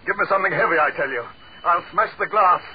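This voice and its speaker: A man's voice: sits low, lively intonation, slow, highs cut off, exaggerated voice. A man in a 1940s radio horror drama playing an arrogant, death-obsessed dictator, grandiose and theatrical. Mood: frantic, hysterical. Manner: grandiose, theatrical, swinging from weary to frantic. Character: arrogant tyrant dictator, panicking and death-obsessed, trapped undersea. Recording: hissy band-limited 1940s radio recording.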